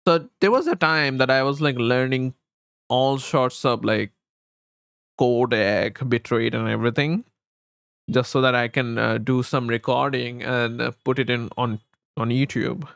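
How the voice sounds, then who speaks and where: Slow-talking man streamer, smooth clear voice. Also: mid-range voice, fairly even intonation, a bit bright, dry recording, clean studio sound. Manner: halting, rambling, often repeats himself. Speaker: live streamer and host. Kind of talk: casual livestream chat with viewers. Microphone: close streaming microphone.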